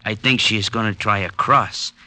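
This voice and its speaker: An actor playing a pompous American gangster who speaks in stilted, over-precise fancy words in an old-time radio crime drama. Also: male voice, fairly low pitch, steady tone, normal speed, pushed and bright. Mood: scheming. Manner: stilted, over-precise diction with few contractions.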